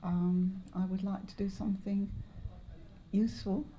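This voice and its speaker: An older English woman in her seventies or beyond, reminiscing in an interview in a measured, halting way with pauses. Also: mid-range voice, level tone, mellow, slight background noise. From Lancashire, northwest England. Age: 70s or older. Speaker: interviewee, longtime community volunteer. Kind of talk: oral history interview, reminiscing.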